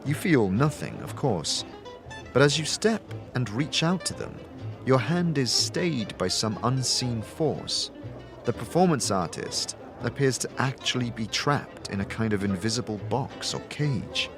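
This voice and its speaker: A man's voice: mid-range voice, very animated, a little slow, over music. A man giving dramatic second-person narration as the narrator of a role-playing audio drama. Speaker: narrator and game master.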